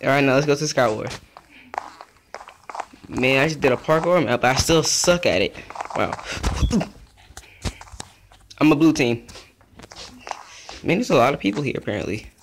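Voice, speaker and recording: A young man giving casual, rambling commentary over his own gameplay, chatty and easily excited. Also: medium pitch, normal speed, long pauses, noisy background. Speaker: gaming youtuber.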